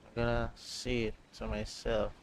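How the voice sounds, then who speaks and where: A man's voice: medium pitch, monotone, a little slow, slight background noise. A man from the Philippines with a Filipino accent, chatting casually as he livestreams a game. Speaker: game streamer.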